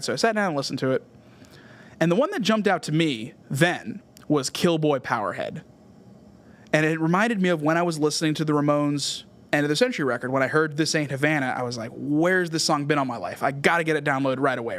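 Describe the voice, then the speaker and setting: Male podcast host, voice a bit above average pitch, delivering keen, semi-prepared music commentary. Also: a bit bright. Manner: enthusiastic, often tags points with "right?". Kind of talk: album review monologue, semi-prepared commentary.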